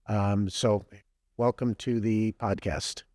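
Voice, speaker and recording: Podcast host guy, lowish voice, dry close sound, lots of ums, easygoing. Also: slow, dry recording. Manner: relaxed, hesitant, many ums and uhs. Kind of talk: casual podcast interview.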